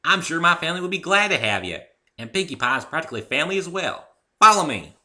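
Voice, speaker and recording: A man's voice: a little high, sing-song, quick pace, bright, forceful tone, voice pushed up, full character voice. A man voicing a friendly young female farm pony with a folksy US Southern drawl in a story reading. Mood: kindly. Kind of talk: dramatic fan fiction reading.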